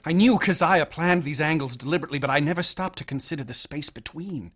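An actor playing an obsessive, feverish young mathematics student in a radio drama, brooding and intense, sometimes hushed. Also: male voice, fairly high pitch, quick pace. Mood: feverish, obsessed.

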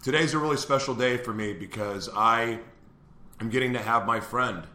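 A man's voice: medium pitch, moderate pace, sharp tone, roomy sound. A man hosting a live morning show, upbeat and laughing easily as he chats with a guest. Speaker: host. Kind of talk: casual live show chat.

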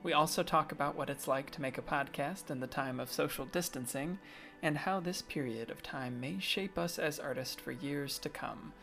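A man's voice: on the high side, moderate pace, creaky, a bit bright, soft background music. A man with an American accent hosting an arts interview podcast in a conversational presenter's style. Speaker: podcast host. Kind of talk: scripted intro and casual interview.

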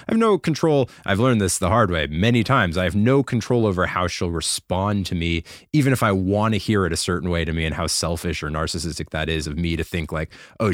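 A man's voice: deep voice, no echo, studio quality. A man in his thirties, a podcast guest, talking candidly and reflectively, often poking fun at himself. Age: 30s. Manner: reflective, candid, self-deprecating. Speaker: podcast guest, keyboard player. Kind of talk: casual chat.

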